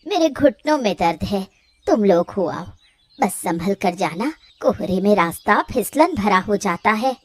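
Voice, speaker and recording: A woman playing an elderly village mother-in-law in a cartoon, motherly and gently scolding. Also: mid-range voice, very animated, measured pace. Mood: gently chiding. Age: older. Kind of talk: Hindi cartoon family story dialogue.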